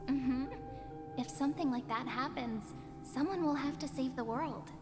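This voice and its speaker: An actress playing a gentle, playful village girl in a video game, soft and reassuring in her teasing. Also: high voice, a little slow. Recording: reverberant hall, music underneath. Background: loud music.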